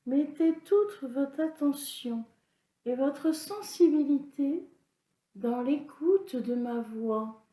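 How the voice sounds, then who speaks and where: A woman leading a guided yoga nidra relaxation as an instructor, in a calm, soothing, instructional manner. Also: sits high. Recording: roomy, echoing sound.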